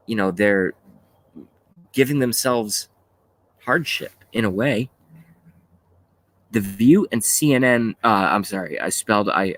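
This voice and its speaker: A man in his mid-thirties with a fairly low voice hosts a casual livestream. He rambles and stops and starts as he rants and reads chat aloud, swearing now and then. The sound is very dry, with hardly any room to it. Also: no room sound. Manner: rambling, halting, often swearing. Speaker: livestream host. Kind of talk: casual political rant while reading live chat.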